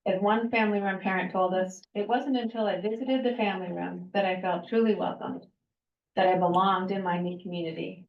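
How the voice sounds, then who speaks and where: A woman from Vermont giving a prepared talk to a club audience, earnest and steady, with pauses and fillers. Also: mid-range voice. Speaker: guest speaker. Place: meeting room. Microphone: handheld microphone, some distance. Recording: echoey room, dull lo-fi sound.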